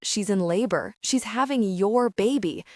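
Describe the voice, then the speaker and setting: A woman's voice: medium pitch, measured pace, darkish tone, no echo, clean studio sound, close to an everyday voice. A woman voicing a blunt, tough young man who speaks urgently in an audiobook. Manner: urgent, barking.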